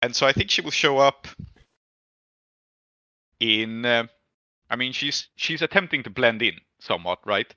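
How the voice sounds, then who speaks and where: A man's voice: medium pitch, animated, unhurried, pauses often, sharp tone, no echo, highs cut off. A man improvising narration as game master in a tabletop roleplaying game, thinking aloud as he goes.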